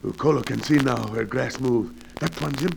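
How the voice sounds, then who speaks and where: A loyal native servant in a vintage radio adventure. His voice is very creaky and rough, his English broken and respectful. The old recording crackles with clear noise. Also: medium pitch, normal speed. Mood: watchful, earnest. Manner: clipped broken English, deferential. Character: loyal, injured male native servant and sidekick. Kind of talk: 1940s-50s radio adventure serial acting.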